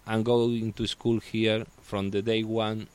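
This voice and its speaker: A man from Spain with a Spanish accent, talking as a guest in casual chat, halting and restarting as he searches for words. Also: on the low side, little pitch movement, darkish tone, clean tone.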